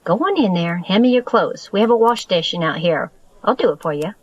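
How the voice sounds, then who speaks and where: A woman's voice: lowish voice, animated, a little fast, no room sound, muffled recording. An actress playing a kind, caring woman traveler who talks in a friendly way.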